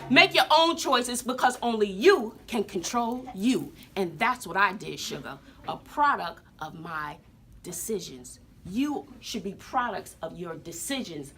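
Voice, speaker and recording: A woman's voice: on the high side, sharp tone, heavily acted. An American woman playing a sassy, folksy, wrinkled old woman who tells stories to students in an emphatic, theatrical way, repeating herself for drama. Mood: emphatic, resolute. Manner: emphatic, dramatic, repeats phrases, addresses listeners as sugar. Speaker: teacher telling her life story to students. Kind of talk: theatrical classroom storytelling. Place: classroom with students present.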